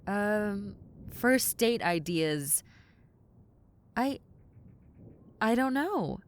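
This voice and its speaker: Centuries-old demon woman for audio roleplay, played by a woman: tomboyish succubus, exasperated yet kind, casual delivery, very animated intonation. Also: mid-range voice, very slow, a little hiss or hum. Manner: casual, wry, flustered, hesitant stammering. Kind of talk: audio roleplay acting, spoken to the listener.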